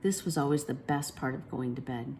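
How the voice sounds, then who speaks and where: A woman's voice: extremely low pitch, brisk, darkish tone, a little hiss or hum. A woman reading a children's story aloud as a storyteller, expressively and with acted character lines. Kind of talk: children's picture book read aloud.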